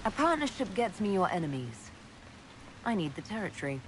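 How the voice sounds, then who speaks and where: An actress playing a composed, commanding female leader in a video game, measured and authoritative. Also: mid-range voice, big pitch swings, background noise, close to an everyday voice. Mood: stern.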